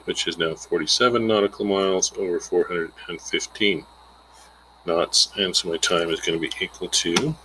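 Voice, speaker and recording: A man's voice: sits low, fairly bright tone, slight background noise, low-quality recording. A man giving an informal, plainspoken lesson, explaining a worked example step by step and thinking aloud as he calculates. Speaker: instructor.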